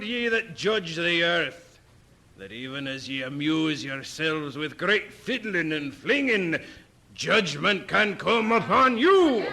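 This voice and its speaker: An actor playing a stern, zealous Scottish Protestant preacher in an audio drama, declaiming a sermon in archaic, emphatic language. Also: male voice, high-pitched, gravelly, sharp tone, a little hiss or hum, heavily acted. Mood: stern condemning.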